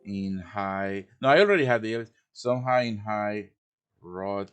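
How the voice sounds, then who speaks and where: Low-voiced man teaching on a stream. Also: lively intonation, normal speed, a bit bright, smooth, faint music behind. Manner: informal, thinks aloud while working. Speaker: live stream host teaching quest making. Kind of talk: casual step-by-step software tutorial. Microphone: computer microphone on a live stream voice chat.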